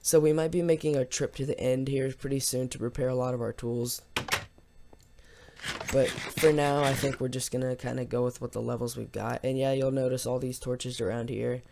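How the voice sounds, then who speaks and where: A man with a slightly hoarse voice giving casual, rambling, unscripted let's play commentary as host of a gaming video. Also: mid-range voice, little pitch movement, normal speed, darkish tone, a little hiss or hum. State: slightly hoarse after losing voice from yelling.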